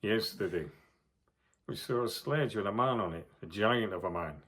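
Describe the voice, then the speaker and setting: A man's voice: lowish voice, moderate pace, fairly bright tone. A man playing a curt, authoritative but courteous ship's captain in a radio drama. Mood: steady, curious. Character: brisk, commanding ship's captain of polar exploration.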